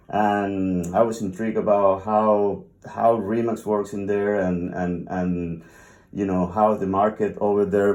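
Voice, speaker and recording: A man's voice: on the low side, a little mellow. A man from Venezuela, hosting a podcast in a chatty, enthusiastic, rambling way. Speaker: podcast host. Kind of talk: casual chat.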